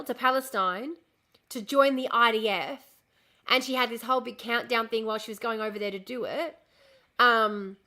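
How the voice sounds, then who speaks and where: A young woman chatting on a casual live stream, ranting and swearing freely while her voice is going hoarse. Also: a little high, pushed and bright. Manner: ranting, lots of swearing and restarts. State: losing her voice, coughing. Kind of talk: casual live-stream chat reading comments.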